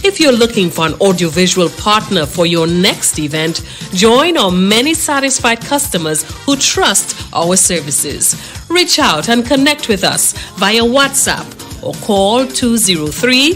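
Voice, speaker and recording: A woman's voice: mid-range voice, expressive, slow, fairly bright tone. A woman narrating a scripted commercial as an announcer. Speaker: advertisement announcer. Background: music.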